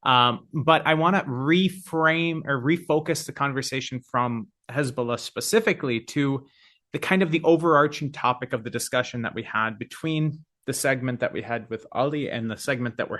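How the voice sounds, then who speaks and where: A male podcast host poses a long question to his guest. His voice is crisp and bright at a middling pitch, and he speaks in a measured, slightly halting way. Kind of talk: interview questions.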